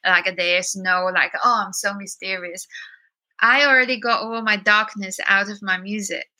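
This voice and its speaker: A woman speaks with a Chinese accent mixed with some British. Her voice is strikingly bright and sharp, at a middle pitch. She tells her own story as an interview guest, earnest and emphatic. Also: steady tone, normal speed, rough recording. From China. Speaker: singer-songwriter, interview guest. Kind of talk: candid personal storytelling in an interview.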